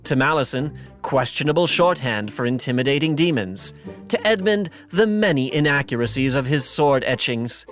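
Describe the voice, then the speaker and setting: An actor playing a wry, arch narrator, reading scripted narration for an audio drama. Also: male voice, mid-range voice, animated, moderate pace, a bit bright, soft background music, close to an everyday voice.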